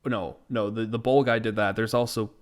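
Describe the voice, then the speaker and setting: A man's voice: on the low side, fairly even intonation, normal speed. A man co-hosting a podcast in casual chat, talking in a rambling, exasperated and incredulous way. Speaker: podcast co-host. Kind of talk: casual chat, film ranting.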